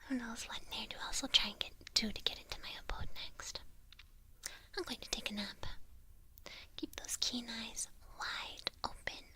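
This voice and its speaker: A woman voicing an evil witch in a whispered ASMR roleplay, breathy, coaxing and teasing, doting with a soft menace. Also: on the high side, fairly even intonation, dry recording, exaggerated voice. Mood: sleepy, commanding. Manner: slow, coaxing, softly menacing. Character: evil witch, doting and teasing toward her new familiar.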